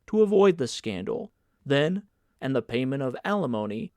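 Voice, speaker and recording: A man's voice: medium pitch, very animated, moderate pace, a little mellow, no room sound, studio quality. A man voicing a pompous older senator in an audiobook, speaking in an emphatic, declamatory, oratorical way. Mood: indignant, declamatory. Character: pompous, theatrical senator uncle, older man, grandly indignant. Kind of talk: dramatic audiobook character reading.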